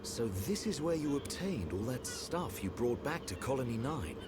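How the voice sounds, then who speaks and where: Forthright young soldier, male actor, blunt, animated delivery, heavy noise behind, video game. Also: medium pitch, moderate pace, lightly acted. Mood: indignant. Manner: blunt, questioning. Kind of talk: video game cutscene acting.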